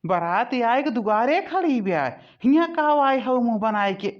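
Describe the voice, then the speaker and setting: Woman voicing an excitable village man, dull recording. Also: on the high side, normal speed. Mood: scolding. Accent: Awadhi. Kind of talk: animated family comedy dialogue in cartoon.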